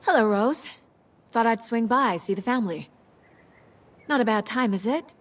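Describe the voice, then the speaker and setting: A woman's voice: fairly high pitch, lively intonation, moderate pace. An actress playing a determined, intense FBI agent, protective of her family, in a horror video game. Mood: casual, probing.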